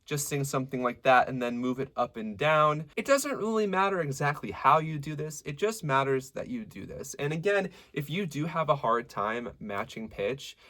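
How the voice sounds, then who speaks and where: A man with a medium-low voice teaching a singing lesson, explaining technique clearly and stopping to sing short examples. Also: moderate pace, hardly any pauses. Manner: lively, demonstrative teacher who breaks into sung examples. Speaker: vocal coach.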